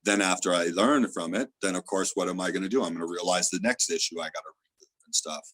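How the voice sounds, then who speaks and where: Guest: man, very low voice, loud and earnest, dry close sound, explains with many fillers. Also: fast talker, no room sound. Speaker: theta healer guest. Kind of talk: casual spiritual interview talk.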